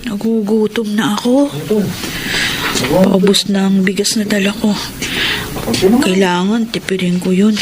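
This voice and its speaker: An actress playing an anxious, proud young college student from the provinces in a radio drama, guarded and testy in manner. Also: female voice, mid-range voice, fairly even intonation, a little slow, long pauses, natural voice. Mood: worried. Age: young adult. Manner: guarded, testy with others, fretful alone. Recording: clear background noise and ambience.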